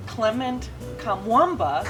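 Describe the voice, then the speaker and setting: A woman hosting an interview, asking questions in a gentle, hesitant way with repeated words. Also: a little high, very animated, takes it slowly, over music. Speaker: interviewer, host. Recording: distant mic in a noisy room.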